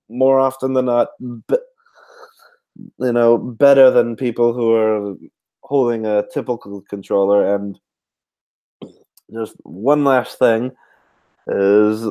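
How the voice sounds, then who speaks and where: A man hosting a podcast in casual chat, speaking in halting, measured phrases with pauses. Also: mid-range voice, warm tone, smooth. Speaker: podcast host.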